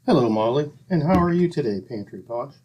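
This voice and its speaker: An actor playing a dry-witted, tight-fisted town doctor in a 1940s-style radio comedy. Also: male voice, medium pitch, expressive, brisk, husky, muffled recording. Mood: wry. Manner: pompous, sardonic.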